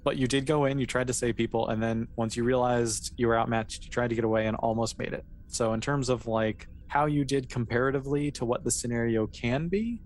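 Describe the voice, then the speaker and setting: A game master narrates a tabletop session in a casual, conversational manner. The delivery is mid-pitched and a little hesitant, with a reassuring way of explaining things. There is clear noise in the background. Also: fairly quick, few pauses.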